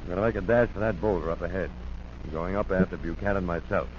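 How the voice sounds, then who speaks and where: A man's voice: deep voice, fast, close to an everyday voice. An actor playing a resolute, commanding lawman in a 1940s radio drama. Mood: firm, urgent. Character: resolute lawman leading a manhunt. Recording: muffled noisy 1940s radio recording.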